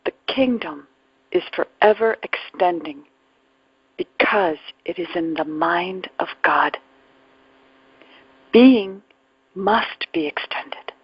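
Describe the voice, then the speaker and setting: A woman guides a spiritual meditation at a very slow pace, leaving long pauses between phrases. Her voice is breathy and soft-edged, sometimes sinking toward a whisper. The recording is dry and close, but dull and muffled. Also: lowish voice, no room sound. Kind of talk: guided meditation, reflective spiritual talk with readings.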